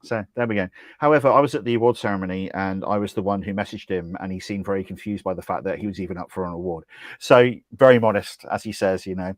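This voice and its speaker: Deep-voiced wry middle-aged man. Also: quick pace, no echo. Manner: dry, wry humour. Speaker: co-host, drinks ambassador. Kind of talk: casual livestream chat.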